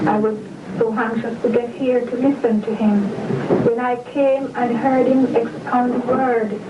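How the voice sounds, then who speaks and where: Woman, earnest, far and echoey. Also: on the high side. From Jamaica. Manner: earnest, measured, convinced. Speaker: interviewee, church member. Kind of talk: earnest testimony on video. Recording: dull, echoey room from far off, noisy.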